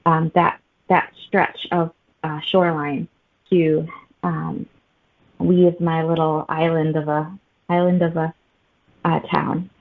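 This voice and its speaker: A woman author answering interview questions conversationally, pausing often in the middle of phrases. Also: low-pitched, flat delivery. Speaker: author guest. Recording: muffled phone-like narrow-band audio.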